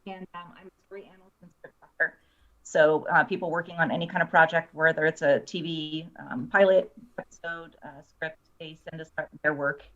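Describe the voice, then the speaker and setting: A woman's voice: low-pitched, steady tone, a little fast, fairly bright tone. A woman guest explaining her work in casual talk over dull, lo-fi call audio. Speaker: guest, screenwriter and story analyst. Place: online video call.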